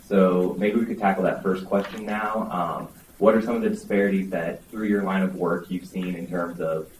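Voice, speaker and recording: A man's voice: low voice, fairly even intonation, moderate pace, a little hiss or hum. A man moderating a conference panel, speaking in a polite, orderly way. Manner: polite and orderly, sets out the agenda. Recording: echoey room from a distant mic.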